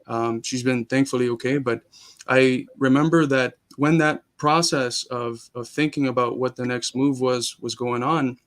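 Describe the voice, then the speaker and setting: A man's voice: medium pitch, fairly even intonation, a little slow, a bit bright. A young man, born in Cuba and raised in Miami, talking earnestly as a guest in a casual interview, with frequent restarts. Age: young adult.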